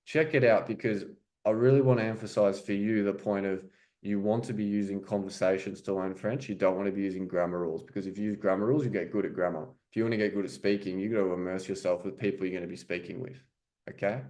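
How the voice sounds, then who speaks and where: Man coaching, fairly low voice. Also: fairly even intonation, fast talker, a little mellow. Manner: explanatory, repeats "right?" and "okay?". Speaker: French coach. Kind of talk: casual teaching commentary.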